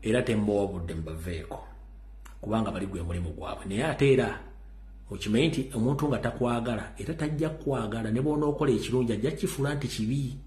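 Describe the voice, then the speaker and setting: A man's voice: mid-range voice, fast, a little mellow, reverberant. A Ugandan man giving urgent political commentary, warning listeners and mixing English into his talk.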